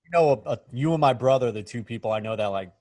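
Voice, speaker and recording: A man's voice: medium pitch, quick pace, a little mellow, no room sound. A man chatting casually on a video call, easygoing and rambling. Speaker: band member hosting a video chat. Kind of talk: casual chat.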